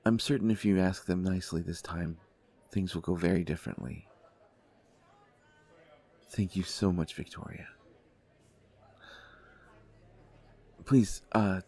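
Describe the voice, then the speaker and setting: A man playing a shy, gentle young god of desire in an intimate audio date roleplay, speaking politely with many hesitations and stammers. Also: sits low, normal speed, pauses often, breathy edge. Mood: polite, nervous.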